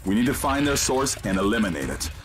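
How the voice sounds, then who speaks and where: An actor playing a composed, commanding mission guide in a sci-fi video game, speaking in a clipped, technical, instructive way. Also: male voice, lowish voice, big pitch swings, fast talker. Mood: calm, firm. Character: composed, commanding man guiding a soldier through alien invasion. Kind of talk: scripted video game voice acting.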